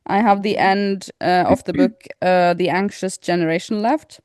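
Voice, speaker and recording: A woman's voice: lowish voice, steady tone, moderate pace, a little mellow, smooth, no room sound. Casual woman podcaster, close dry sound. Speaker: podcast co-host.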